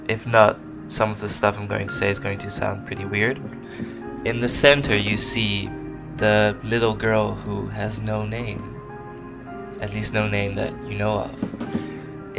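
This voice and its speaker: Dutch man, gravelly low voice, casual game commentary, music playing underneath. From Netherlands. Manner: rambling, self-deprecating, searching for words. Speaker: game developer playing his own game. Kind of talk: casual let's play commentary. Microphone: desk microphone recorded on computer.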